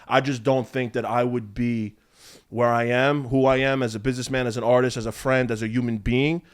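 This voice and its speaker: A young man talks at a rapid clip in a relaxed podcast conversation. He is emphatic and heartfelt, swears freely, and keeps checking in with his listeners. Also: medium pitch, clean tone. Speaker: podcast guest, clothing brand owner. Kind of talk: casual chat.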